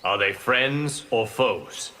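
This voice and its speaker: A man voicing a calm, wise god, measured and dignified, in a fighting game with noisy sound. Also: mid-range voice, natural voice. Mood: measured counsel. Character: calm, wise, lofty fire god advisor. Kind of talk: fighting game character acting.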